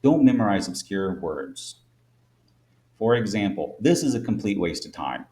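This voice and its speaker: Deep-voiced man, Midwest American accent, English teacher, clear and measured instructive read. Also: sing-song, warm tone. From United States. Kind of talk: scripted explainer lesson.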